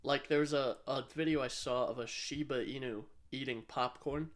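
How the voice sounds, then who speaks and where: A man's voice: medium pitch, steady tone, moderate pace. A man co-hosting a podcast in casual banter, rambling and swearing freely with a self-deprecating streak. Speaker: podcast co-host.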